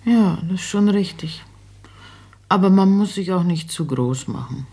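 An actress playing an anxious, humble old mother in a German radio drama, homely and hesitant, with a raspy voice. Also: fairly low pitch, lively intonation, a little slow, dark tone, full character voice. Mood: doubtful. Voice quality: raspy, creaky.

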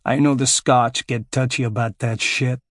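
A man's voice: mid-range voice, steady tone, moderate pace, no echo, studio quality, natural voice. A man voicing a burly, crude American ex-marine security contractor with an American accent. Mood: brash. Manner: crude, swaggering, profane.

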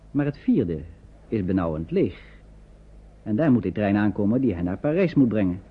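A man acting as the wry narrator of a radio drama, with a husky voice. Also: fairly low pitch, a little hiss or hum, natural voice. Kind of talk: scripted radio play narration. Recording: dull old radio recording.

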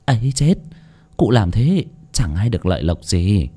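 A sly, cheeky young village lad, as a male storyteller puts him on. His voice jumps high and bright as he teases, swinging all over in lively mockery. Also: normal speed. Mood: mocking. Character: cheeky clever young peasant lad, mocking.

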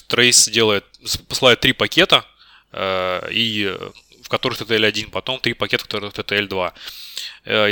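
Very bright, crisp-voiced man answering questions. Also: on the low side, no room sound, studio quality. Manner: tentative, self-correcting, admits uncertainty. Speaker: job candidate in a technical interview. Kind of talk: spontaneous technical explanation.

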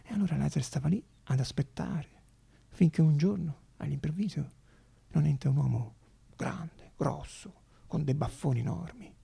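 A male actor tells a story in a theatrical radio monologue. His voice is fairly high, rough and gravelly, shaped by dramatic pauses and repeated phrases. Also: warm tone. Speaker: actor-narrator.

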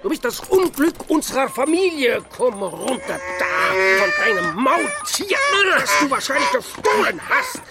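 A high-pitched, ranting old peasant father. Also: huge pitch swings, moderate pace, gravelly, few pauses, over loud music, heavily acted. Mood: indignant, scolding. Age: older. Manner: ranting, scolding. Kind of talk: German radio play acting.

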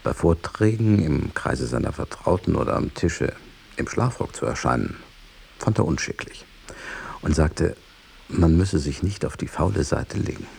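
An actor playing a scholar's devoted associate, narrating his habits in a measured radio drama style. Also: a bass voice, expressive, creaky, darkish tone, a little hiss or hum, exaggerated voice.

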